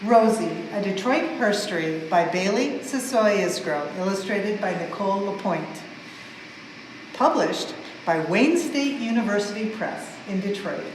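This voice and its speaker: A woman reads a storybook aloud for children with expressive, measured emphasis on the rhymes. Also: mid-range voice. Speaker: museum staff member reading a story aloud. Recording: very echoey large hall, some noise.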